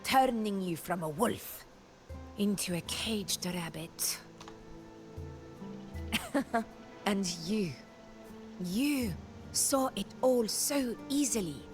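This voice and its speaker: A woman playing a proud, ambitious warrior in a video game, wry and brooding, speaking in measured, dramatic phrases with music underneath. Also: medium pitch, lively intonation. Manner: measured, dramatic, with weighted pauses. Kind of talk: scripted video game story acting.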